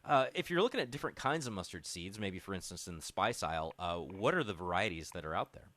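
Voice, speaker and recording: A man's voice: low voice, sing-song, fast talker, a bit bright, dry recording. A man hosting a conversational radio interview, explaining things in an informal, reflective way. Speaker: radio show host.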